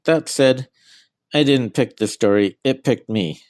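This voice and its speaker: A man's voice: mid-range voice, a little slow, a little mellow, no room sound, studio quality. A middle-aged man being interviewed as an author, giving answers about their own work.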